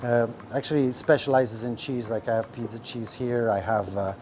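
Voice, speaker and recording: Man talking shop, halting. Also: medium pitch, darkish tone, slight background noise. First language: French. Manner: rambling, many fillers, searches for words. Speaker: restaurant owner. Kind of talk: casual podcast talk. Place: restaurant kitchen.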